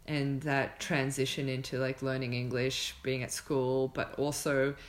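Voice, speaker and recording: A podcast host with a mid-pitched voice speaks casually, as in a relaxed conversation. The delivery is informal and reflective, with thoughts worked out aloud. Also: a little slow.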